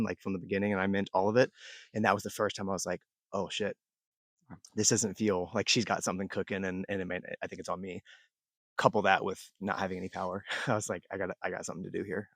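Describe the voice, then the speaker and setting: A man's voice: fairly low pitch, a bit bright, no echo. A man answering jury questions as a game finalist, candid and casual, with the odd swear.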